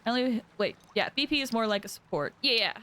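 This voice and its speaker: A woman's voice: fairly high pitch, lively intonation, fast talker, sharp tone, slight background noise. A woman game streamer chatting casually to her live viewers. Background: game music.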